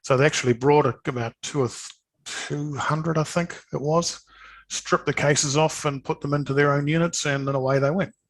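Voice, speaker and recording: A man giving an informal sales presentation of products, speaking hesitantly and haltingly, often repeating words. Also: on the high side, fairly even intonation.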